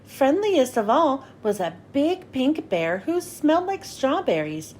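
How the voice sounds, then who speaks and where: A woman narrating a children's storybook as a read-aloud host, with expressive, clearly phrased delivery. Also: high voice, unhurried, slight background noise.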